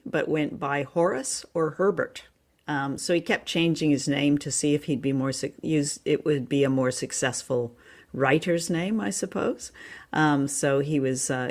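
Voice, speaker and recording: A woman co-hosting a podcast, telling things informally in a halting, conversational way. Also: low voice. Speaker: podcast co-host. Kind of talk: casual chat, informal storytelling.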